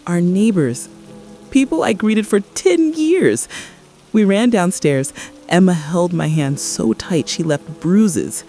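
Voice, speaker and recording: An actress playing a guilt-ridden, traumatized mother in an apocalypse radio drama, confessing haltingly and repeating her phrases in distress. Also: lowish voice, very animated, warm tone, soft background music, exaggerated voice. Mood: anguished, horrified.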